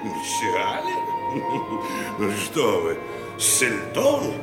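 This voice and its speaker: Slow, fussy prison governor. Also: male voice, fairly low pitch, loud music behind the voice, full character voice. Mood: flustered, alarmed. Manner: halting, stammering, expressive. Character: fussy, obsequious male prison governor, easily flustered. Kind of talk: radio drama acting. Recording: echoey reverberant hall sound.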